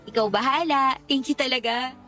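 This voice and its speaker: A woman's voice: high-pitched, normal speed, sharp tone, soft background music. A woman playing a candid, defensive young mother in a radio drama, dissatisfied with her lot. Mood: grateful, shy. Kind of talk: Tagalog radio drama dialogue.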